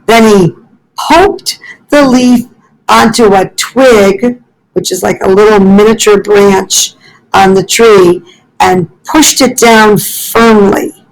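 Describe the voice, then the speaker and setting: A woman's voice: mid-range voice, takes it slowly, rough recording. A woman, a school librarian, reading a story aloud to young children and carefully explaining it in asides.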